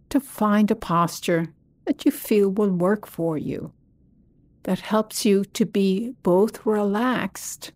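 A woman leading a guided body scan meditation as a teacher, speaking gently and soothingly with long pauses. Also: mid-range voice.

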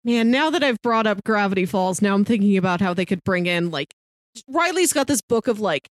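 A woman's voice: medium pitch, expressive, brisk, no room sound. A woman co-hosting a podcast, chatting casually about films with playful, fannish enthusiasm. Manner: enthusiastic, playful fan talk. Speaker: podcast co-host. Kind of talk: casual film discussion chat.

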